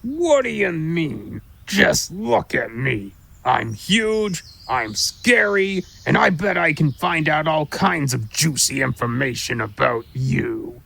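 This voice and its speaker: Huge mean squirrel, gravelly and husky, boastful, brash grumbling drawl, audio drama, music underneath. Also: medium pitch, expressive, slow, few pauses, a bit bright, exaggerated voice. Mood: smug. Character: huge pushy boastful squirrel who likes to scare travelers. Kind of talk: audio drama character acting.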